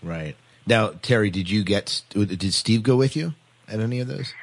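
A man's voice: on the low side, lively intonation, moderate pace, gravelly, slight background noise. A man hosting a casual podcast discussion, often repeating and restarting his words as he puts questions. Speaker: podcast host.

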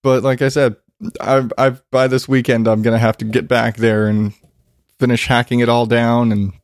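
Stuffy congested dude ranting about his yard, cussing a lot, mid-pitch voice, dead dry room. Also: little pitch movement, moderate pace, no echo. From Arizona, US. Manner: profane, rambling, self-deprecating. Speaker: vlogger. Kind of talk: casual rant.

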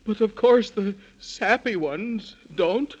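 A good-humoured, grumbling old-radio tree, trembling with cold in every phrase. The voice sits high and stammers and quavers through hesitant speech. It comes through a dull 1930s radio recording. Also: male voice, slow, a little mellow, breathy edge, heavily acted. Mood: shivery, grumbling. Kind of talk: 1930s children's radio serial acting.

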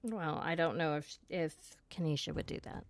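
A woman co-hosting a live podcast, chatting casually with dry, deadpan replies. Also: low-pitched, moderate pace, vocal fry, dry recording. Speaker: podcast co-host.

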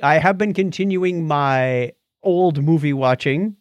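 A man's voice: fairly high pitch, measured pace, dry recording. A man co-hosting a podcast, chatting casually about movies and telling stories in a chatty way. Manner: chatty, animated storytelling. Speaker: podcast co-host.

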